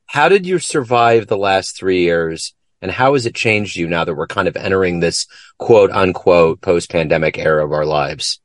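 A man's voice: sits low, lively intonation, moderate pace, hardly any pauses, dry recording, studio quality. A man hosting an interview, putting folksy, prepared questions in a conversational way. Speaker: podcast interview host.

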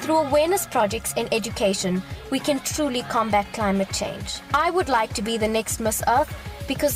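A young woman in her early twenties with a South African accent, giving a polished, formal pageant introduction. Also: fairly high pitch. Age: early 20s. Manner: polished, formal, proud delivery. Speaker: pageant contestant. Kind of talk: scripted pageant introduction video. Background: music.

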